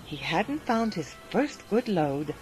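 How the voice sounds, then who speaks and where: A woman reading a rhyming children's story aloud in a gentle, sing-song manner. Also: on the low side, sing-song, slow, soft background music, low-quality recording. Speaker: narrator.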